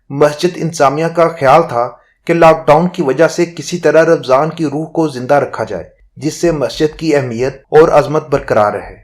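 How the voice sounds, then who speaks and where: A man narrating a scripted news report in a formal, measured manner. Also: on the high side.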